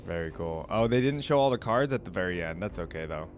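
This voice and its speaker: Guy doing a reaction vid, lowish voice, chatty and thinking out loud, music playing behind him. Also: animated, fast. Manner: informal, rambling, thinking aloud. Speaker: reaction video host. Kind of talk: casual reaction commentary.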